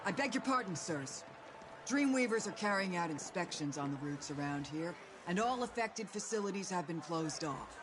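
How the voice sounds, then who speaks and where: A man's voice: sits high, lively intonation, normal speed, background noise. An actor playing a curious, polite junior patrolman in a video game. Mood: polite, hesitant. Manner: inquisitive, polite. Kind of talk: video game dialogue acting. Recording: voice over game music. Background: clear background music.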